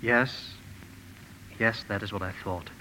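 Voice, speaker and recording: An actor playing a kindly, earnest professor in a 1950s radio drama, speaking gently and formally with deliberate phrasing. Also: male voice, lowish voice, fairly even intonation, a little hiss or hum. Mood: tender, fervent. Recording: old radio broadcast, reverberant, music behind.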